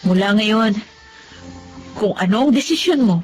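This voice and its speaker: A woman's voice: mid-range voice, expressive, unhurried, faint music behind, lightly acted. A woman with a Filipino accent playing a stubborn, emotional young newlywed wife in a radio drama. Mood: soft, apologetic. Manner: emotional, pleading.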